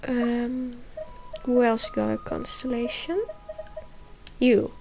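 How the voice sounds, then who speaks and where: A young woman streams a video game with casual commentary. Her voice is fairly high and she speaks slowly, in short bits, over faint background noise. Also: flat delivery, creaky, darkish tone. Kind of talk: casual live gameplay commentary.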